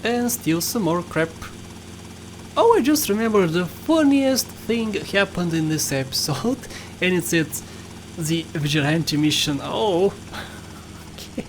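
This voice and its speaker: A man with a Bulgarian accent narrates his gameplay in a loose, chatty way, laughing often and teasing himself. His voice sits high for a man. A faint hiss runs under the recording. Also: expressive, takes it slowly. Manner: chatty, laughs often, playful self-mocking. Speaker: gaming YouTuber. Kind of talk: casual gameplay commentary.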